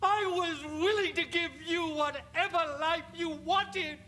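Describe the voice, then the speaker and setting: Shrill, high-pitched cartoon villain. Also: male voice, a little slow, sharp tone, full character voice. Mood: bitter fury. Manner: theatrical, embittered, emphatic. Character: bitter scheming villain in a cartoon.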